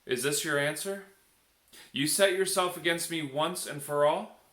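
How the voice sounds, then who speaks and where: A man voicing a noble, solemn and merciful king in a storybook, speaking in a measured, declamatory way. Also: a little high, a bit bright. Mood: stern. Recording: echoey room.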